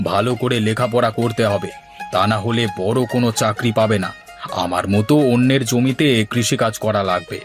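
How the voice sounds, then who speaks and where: A man's voice: on the low side, brisk, soft background music, close to an everyday voice. A man voicing a poor village farmer in a cartoon, a caring but worried father. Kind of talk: Bengali moral story cartoon dubbing.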